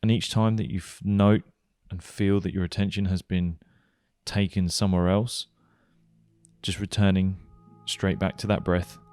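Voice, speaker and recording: A man leading a guided meditation, giving gentle, unhurried instructions with pauses. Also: sits low, level tone, dry recording. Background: music.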